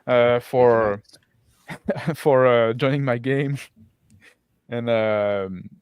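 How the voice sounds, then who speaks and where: A man's voice: mid-range voice, drawn-out words, a little mellow, smooth, dry recording, studio quality. A man hosting a roleplaying stream as game master, chatting casually and rephrasing as he goes. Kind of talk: casual unscripted post-game chat.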